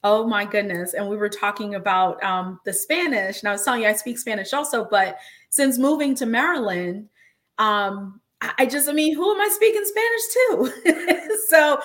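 Expressive woman in casual conversation. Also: on the high side, moderate pace. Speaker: host and attorney. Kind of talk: casual podcast-style conversation.

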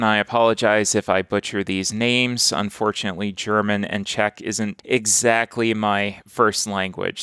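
Man, board game reviewer, earnest, matter-of-fact, smooth voice, dry close recording. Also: lowish voice, fairly bright tone, no echo. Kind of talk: casual solo review.

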